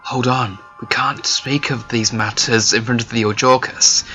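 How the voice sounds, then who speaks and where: Bright-toned, dry, guarded male dragon played by a man. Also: medium pitch, fairly even intonation, unhurried, faint music behind. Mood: serious, protective. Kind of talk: animated fantasy web series dialogue.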